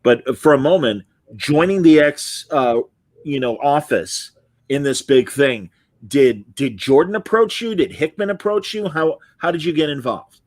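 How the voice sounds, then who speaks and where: A man's voice: on the high side, animated, unhurried, no echo. A middle-aged man hosting a casual interview podcast, chatting loosely and reading out viewer comments. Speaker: podcast host.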